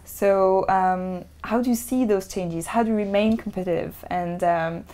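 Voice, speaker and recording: A woman's voice: mid-range voice, steady tone, moderate pace, mellow. A woman interviewing a guest conversationally, building her questions hesitantly in short phrases.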